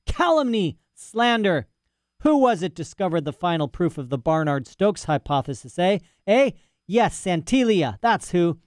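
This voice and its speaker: A man's voice: fairly high pitch, a little mellow, clean tone, no echo, studio quality, voice pushed up, natural voice. A man voicing an indignant, rambling old male professor who is locked in a cell, ranting boastfully with "eh?" asides. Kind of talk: audiobook character voice.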